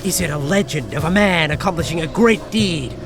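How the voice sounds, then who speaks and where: A man playing a skeptical, teasing young ghost-hunting companion in a visual novel dub, with drawn-out theatrical delivery. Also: a little high, animated. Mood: curious, teasing. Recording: music under the voice.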